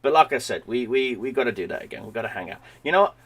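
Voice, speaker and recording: Brief: male streamer, hoarse voice, rambling friendly sign-off, mid pitch. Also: animated, very fast. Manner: rambling, repeats words, friendly. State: losing his voice, sinus infection. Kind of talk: casual stream sign-off chat. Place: at home.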